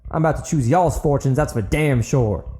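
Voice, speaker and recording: A man playing a violent, profane gang thug who yells menacingly in a frontier audiobook drama. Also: medium pitch, moderate pace, soft background music, voice pushed up, close to an everyday voice. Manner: yelling, menacing, profane drawl phrasing.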